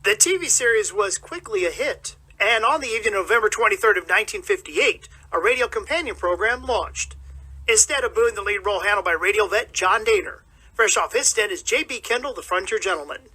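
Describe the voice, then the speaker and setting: A man with a husky voice hosting a radio show with a scripted introduction. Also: high-pitched, animated, a little fast, sharp tone, low-quality recording. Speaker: radio host.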